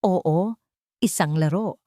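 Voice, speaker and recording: A woman's voice: on the low side, mellow, dry recording, studio quality. A woman voicing a gloating, venomous mistress, a smug villainess who draws out her taunts in a dramatic story. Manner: taunting, drawn-out mockery.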